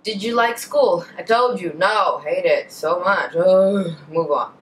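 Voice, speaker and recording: Unhurried young woman with an Indonesian accent. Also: lowish voice, distant mic, music in the background, low-quality recording. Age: young adult. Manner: chatty, self-correcting, trails off into asides. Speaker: beauty vlogger. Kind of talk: casual chat answering a tag. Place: bedroom, indoors. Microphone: camera in front, at a short distance.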